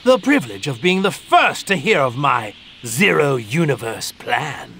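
Pompous villainous god, wildly swooping voice. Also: male voice, medium pitch, sing-song, unhurried, fairly bright tone, slight background noise. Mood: cold, imperious. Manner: pompous, theatrical, formal diction. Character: arrogant male god, grandiose, contemptuous villain bent on destruction. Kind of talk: dramatic video game voice acting. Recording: music under the voice. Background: music.

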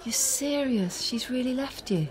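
An actress playing a guarded, defiant young con artist in a naturalistic radio drama. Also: female voice, high-pitched, expressive, measured pace, darkish tone, slight background noise. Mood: sympathetic. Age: young adult.

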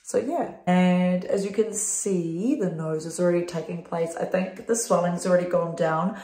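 A woman's voice: on the low side, moderate pace, warm tone. A young New Zealand woman vlogging casually to her phone, her voice blocked up and nasal, her talk full of "like" and "um". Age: young adult. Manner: chatty, lots of "like" and "um". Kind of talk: casual vlog to camera. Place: small bathroom indoors. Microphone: phone camera at arm's length. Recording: echoey bathroom.

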